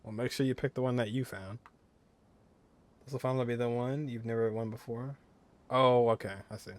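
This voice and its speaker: A man with a medium-pitched voice chats casually over gameplay. His delivery is amused and offhand, like a streamer reacting as he plays. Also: steady tone, fairly quick. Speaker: gaming streamer.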